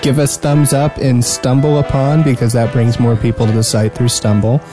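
A man's voice: mid-range voice, little pitch movement, moderate pace. A man co-hosting a podcast in a casual, playful way. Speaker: podcast co-host. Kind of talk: casual, joking podcast chat. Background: music.

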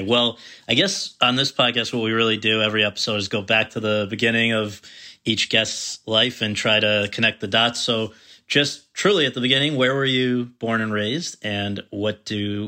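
A man's voice: on the low side, level tone, moderate pace, pushed and bright, no echo. A man hosting an awards podcast, reading a formal introduction and then asking interview questions. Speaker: podcast host.

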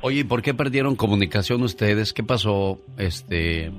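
A man hosting a call-in radio show alone in the studio, chatting warmly and affectionately with a caller. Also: on the low side, expressive, moderate pace. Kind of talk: live call-in radio talk. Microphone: studio microphone.

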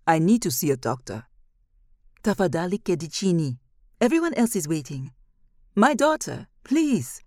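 Audio story casting: distraught father, urgent and pleading, husky, raised voice played by a female narrator. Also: lowish voice, big pitch swings, moderate pace, pauses often, a little mellow, no room sound, clean studio sound. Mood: desperate pleading. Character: desperate father pleading for his injured daughter. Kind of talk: dramatic audiobook character voice.

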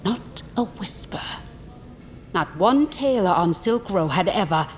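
A woman playing a menacing vulture interrogator in an audio drama, speaking deliberately and theatrically with cold threat. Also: medium pitch, expressive, slight background noise, lightly acted. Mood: sardonic, menacing. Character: menacing vulture lord, interrogator and assassin hunter.

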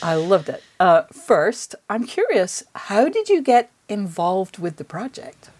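A woman's voice: medium pitch, very animated, unhurried, darkish tone. A woman interviewer talking conversationally and with enthusiasm as a podcast host.

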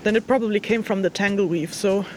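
A woman's voice: medium pitch, fairly even intonation, fast, slight background noise, lightly acted. A woman playing a young spirit hunter who thinks aloud hesitantly, with dry teasing, in an audio drama field log. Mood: musing, uncertain.

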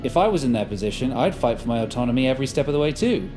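An actor playing a smug, controlling leader in an audio drama, coaxing and condescending in a falsely reasonable way. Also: male voice, medium pitch, big pitch swings, rapid-fire, music in the background, natural voice.

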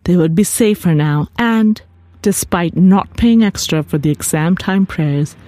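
A woman's voice: lowish voice, measured pace, dark tone. A woman reading a literary short story aloud as its author, in a scripted narration style with composed music behind her. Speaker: author reading own fiction. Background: composed background music.

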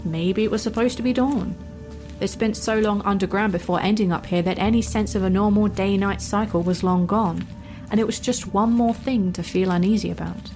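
A woman narrating a fantasy story in a measured, wry storytelling manner. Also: medium pitch, a little mellow. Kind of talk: dramatic fan fiction narration. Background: music.

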